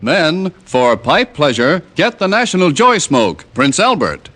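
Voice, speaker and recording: A man's voice: a little high, very animated, unhurried, a bit bright, soft background music. A man working as a radio announcer, reading scripted credits and advertisements in 1950s broadcast style.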